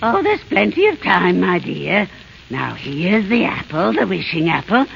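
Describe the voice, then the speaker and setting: A woman playing a wicked old witch posing as a wheedling granny, with a croaky, cackling voice, in a 1930s radio fairy tale. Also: fairly low pitch, very animated, heavily acted. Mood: coaxing. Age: older. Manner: wheedling, sly, gloating, drawn-out. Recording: scratchy, muffled 1930s radio broadcast.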